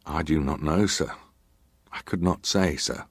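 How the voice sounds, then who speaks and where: Deep-voiced, formal ship's officer. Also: male voice, breathy edge, no room sound, clean studio sound, heavily acted. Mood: guarded, composed. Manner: measured, clipped, formally deferential answers. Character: formal ship's officer testifying, guarded and terse. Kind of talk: dramatised reading of hearing testimony.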